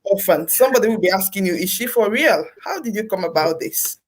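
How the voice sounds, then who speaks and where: A woman's voice: medium pitch, expressive, a little fast. A woman hosting an interview, effusive, repeating her thanks and phrases with many fillers. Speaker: interview host. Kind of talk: interview talk.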